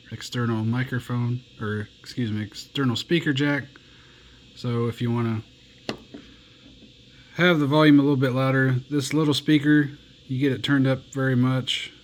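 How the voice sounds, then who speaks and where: A man's voice: medium pitch, slight background noise. A man giving a casual, plainspoken hands-on demo of a pocket radio, walking through its buttons and bands. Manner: relaxed, plainspoken, slow and unhurried. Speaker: radio reviewer.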